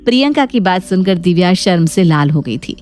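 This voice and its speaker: A woman's voice: on the low side, expressive, a little fast, darkish tone. Woman narrating a story over music. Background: background music.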